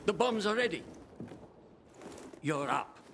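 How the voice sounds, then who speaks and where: An actor playing an eccentric, excitable, scheming bomb-maker in a video game, theatrical and halting, repeating himself and drawing out words. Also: male voice, high-pitched, vocal fry, a little hiss or hum, full character voice. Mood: cocksure. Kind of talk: video game cutscene acting.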